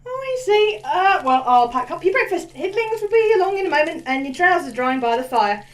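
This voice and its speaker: A woman's voice: extremely high pitch, very animated, brisk. A woman playing a fussy, evasive, deferential housekeeper in a comic gothic stage drama. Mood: uneasy, deferential. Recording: distant, echoey hall.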